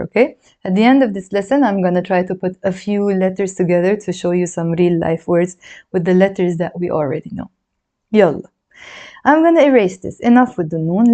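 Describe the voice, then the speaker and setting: A native Arabic-speaking woman with a Lebanese accent talks a class through writing letters. Her voice is mellow and soft, set at an ordinary middle pitch. She chats along, encouraging and counting strokes as she goes. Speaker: Arabic teacher. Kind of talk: handwriting lesson, demonstrating while talking.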